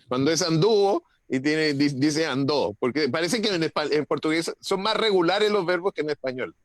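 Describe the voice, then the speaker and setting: A man's voice: fairly high pitch, normal speed, no room sound. A man chatting casually on a video call, telling anecdotes and laughing as he talks. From Chile. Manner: chatty anecdote-teller, laughs while talking. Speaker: conference participant. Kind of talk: casual chat.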